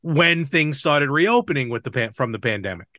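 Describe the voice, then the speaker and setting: A man's voice: fairly high pitch, lively intonation, normal speed, bright, forceful tone, no echo, clean studio sound. A man hosting a podcast, chatting casually and enthusiastically about retro video games. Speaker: podcast host.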